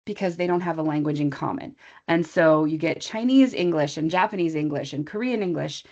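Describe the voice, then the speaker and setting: An American woman teacher talks through a training session in a loose, chatty way, tossing in asides as she goes. Her voice sits at a middling pitch for a woman. The sound comes through a slightly dull, lo-fi online call. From USA. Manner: chatty and informal, thinking aloud with asides to the audience. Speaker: English teacher presenting a training webinar. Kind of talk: conversational online presentation.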